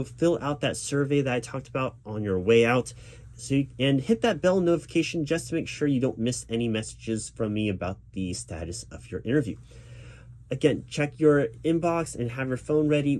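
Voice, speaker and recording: Mellow, soothing man as job interviewer. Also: medium pitch. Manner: reassuring, patient, encouraging. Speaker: ASMR roleplayer playing a recruiting manager. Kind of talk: loud-spoken ASMR interview roleplay. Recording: background noise with keyboard typing.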